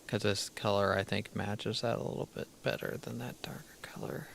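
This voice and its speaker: A man's voice: fairly low pitch, steady tone, moderate pace, creaky, in a whisper. A man streaming a game live, thinking aloud as he plays, half-muttering his plans, with a crackly voice from a dry throat. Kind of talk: casual live gameplay commentary.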